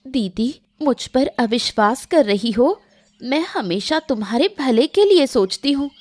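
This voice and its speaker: A woman's voice: sits high, animated, unhurried, dry recording. A woman voicing an aggrieved, sweet-seeming younger sister-in-law in a cartoon moral story, plaintive and protesting.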